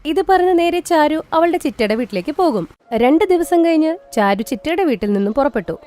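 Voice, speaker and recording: Lively woman storyteller. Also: high voice, a little fast. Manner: lively, expressive. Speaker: narrator. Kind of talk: storybook narration for a cartoon.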